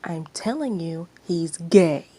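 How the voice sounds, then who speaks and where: A woman's voice: lowish voice, lively intonation, slow, warm tone, slightly rough, full character voice. A woman acting a smug, spiteful flirty actress.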